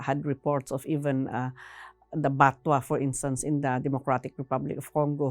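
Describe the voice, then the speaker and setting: Woman, interviewee, low voice, measured and halting explanatory delivery, very dry close sound. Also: darkish tone, no room sound. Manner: measured, halting, ending phrases with a tag "no?".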